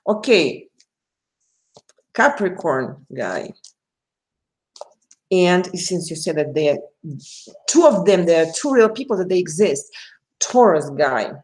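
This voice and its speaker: A woman's voice: fairly low pitch, sing-song, takes it slowly, long pauses, rough recording. A woman with a Russian accent, a native Russian speaker, chatting casually on a live stream as a tarot reader, addressing viewers by name and laughing often.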